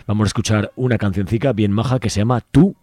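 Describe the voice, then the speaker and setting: A man's voice: fairly low pitch, fast, dark tone, no echo, studio quality. A man with an accent from Spain hosting a radio show in a casual, colloquial and jokey way. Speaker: radio host. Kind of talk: casual chat.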